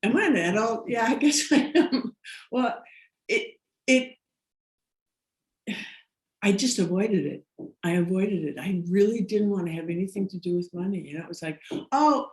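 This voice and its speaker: A woman's voice: medium pitch, animated, normal speed, pauses often. American woman telling stories in a roomy echo. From United States. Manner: rambling, laughs often, many restarts. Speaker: guest. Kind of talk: casual interview storytelling.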